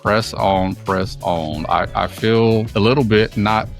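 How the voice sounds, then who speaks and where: Low-voiced joking male podcast host. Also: fairly even intonation, takes it slowly, creaky, music in the background. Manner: playful, joking, laughs often. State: stuffy with a cold. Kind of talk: casual podcast chat.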